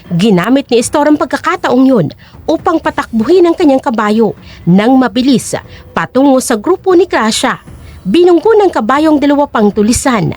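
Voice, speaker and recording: A woman's voice: high voice, big pitch swings, moderate pace, darkish tone. A woman narrating an audio drama in a dramatic, scripted storytelling style.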